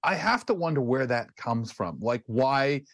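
A man's voice: mid-range voice, animated, unhurried, fairly bright tone, no room sound, studio quality. A man co-hosting a talk show, chatting casually and working through his questions as he speaks. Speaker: show regular, co-host. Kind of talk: casual conversational discussion.

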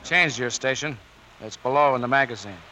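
An actor playing a gruff, tough Navy chief and protective big brother in a 1940s radio drama, blunt, bossy and slangy. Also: male voice, mid-range voice, fairly quick, sharp tone, muffled recording, lightly acted. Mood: stern, bossy. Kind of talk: 1940s radio drama acting with scripted narration. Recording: 1940s radio broadcast recording.

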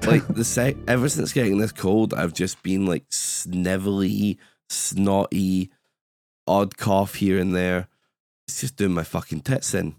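A man hosting a podcast in laid-back chat, his voice gravelly and hoarse from a cold, swearing casually. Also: low-pitched, a little slow, a little mellow, dry recording, studio quality, soft background music. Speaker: podcast host.

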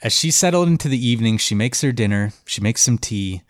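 A man's voice: medium pitch, lively intonation, fairly quick, dry recording. Congested man telling a story, dry sound. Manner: loose and chatty, with many "like" and "um" fillers. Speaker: podcast host and storyteller. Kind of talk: casual storytelling chat.

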